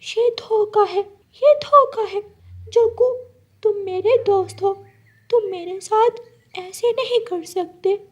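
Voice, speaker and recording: Woman as a naive high-voiced little goat. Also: takes it slowly, lo-fi sound. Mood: panicked. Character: naive, easily swayed young female goat. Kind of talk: cartoon story dialogue.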